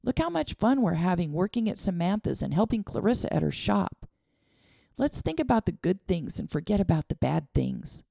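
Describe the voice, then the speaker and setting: A woman's voice: fairly low pitch, big pitch swings, normal speed, vocal fry, no echo, studio quality, voice pushed up, full character voice. A woman doing an earnest young boy. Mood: reassuring. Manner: earnest, gently reassuring. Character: kind earnest young boy, comforting friend.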